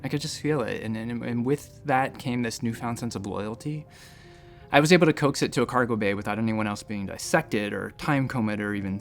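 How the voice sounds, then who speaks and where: A man's voice: lowish voice, creaky. A man playing a nervous space-station doctor who talks in a hesitant, rambling, self-deprecating way in a sci-fi audio drama. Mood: reflective, tender. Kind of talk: sci-fi audio drama acting, conversational first-person storytelling.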